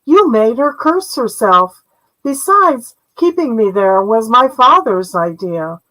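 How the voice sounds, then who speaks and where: A candid, resigned young princess from a fairy tale, read out by a woman. She speaks plainly and earnestly, slowly, in a fairly high voice. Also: lively intonation, pushed and bright, heavily acted, low-quality recording.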